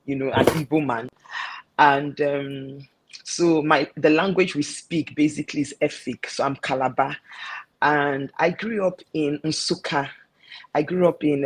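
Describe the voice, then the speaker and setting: A woman's voice: deep voice, breathy edge, poor audio. A woman with a Nigerian accent, a guest earnestly recounting her life and faith in an interview. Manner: earnest, reflective storytelling. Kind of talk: personal testimony in an interview.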